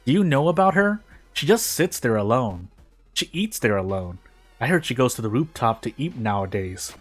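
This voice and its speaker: A man's voice: medium pitch, very animated, normal speed. A man voicing snide, gossiping young schoolmates in a taunting, dismissive way for a story. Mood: gossipy scorn. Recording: music behind the voice.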